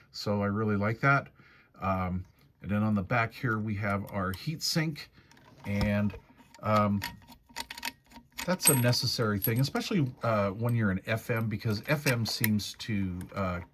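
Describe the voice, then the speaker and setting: Man, lowish voice, casual product reviewer, explanatory walkthrough style. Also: slight background noise.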